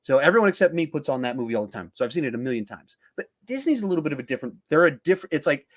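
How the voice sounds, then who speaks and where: A man's voice: a little high, very animated, rapid-fire. A man, hosting a podcast and talking film in a casual, conversational style. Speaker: podcast host.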